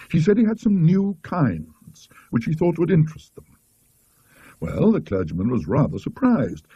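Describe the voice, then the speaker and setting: A man's voice: medium pitch, dark tone, slightly rough, no echo, lo-fi sound, heavily acted. A British man voicing an indignant, gossipy country lady in a storybook, emphatic and disapproving.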